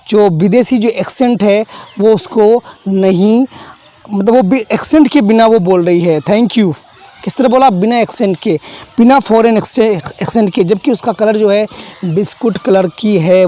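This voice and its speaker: A man's voice: high voice, warm tone. A young man teaching a textbook play, explaining each phrase, repeating himself and adding fillers.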